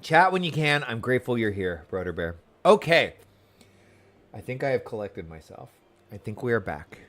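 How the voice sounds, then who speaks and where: A man's voice: mid-range voice, very animated, normal speed, fairly bright tone. A man chatting casually on a livestream, commenting on the game he plays and talking to his viewers. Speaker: livestreamer playing an online game.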